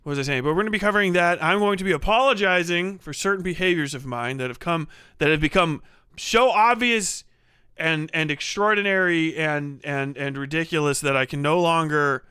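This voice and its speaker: Male livestreamer, crisp and dry-sounding, chatting casually and loosely with lots of swearing and animated delivery. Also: on the high side, a little fast, dry recording. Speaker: livestream host.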